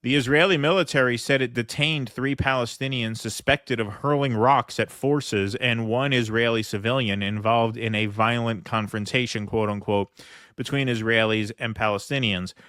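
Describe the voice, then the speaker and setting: A man's voice: medium pitch, steady tone, normal speed, fairly bright tone, no room sound, studio quality. A man hosting a political commentary show, reading news reports aloud and commenting with frequent fillers.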